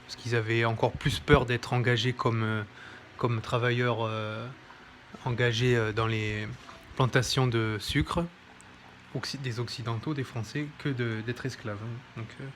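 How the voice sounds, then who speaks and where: A man's voice: medium pitch, little pitch movement, measured pace, a bit bright, slight background noise. A man presenting a history book in an informal, hesitant commentary, with many fillers and self-corrections. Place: home near an airport.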